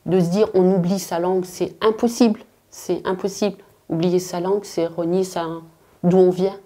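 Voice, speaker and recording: A woman's voice: lowish voice, steady tone, moderate pace, warm tone. A woman in a documentary interview, speaking earnestly about her roots. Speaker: interviewee, daughter of immigrants.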